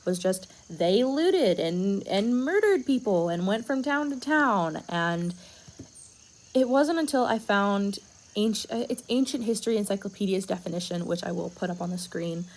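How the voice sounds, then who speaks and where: A young woman presenting a school project in a casual, halting way, stuttering and pausing often and dropping to a whisper at times, recorded on a tablet at home late at night. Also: medium pitch, animated, rough recording. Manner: stuttering, halting, with many pauses and fillers. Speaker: student presenting a class project.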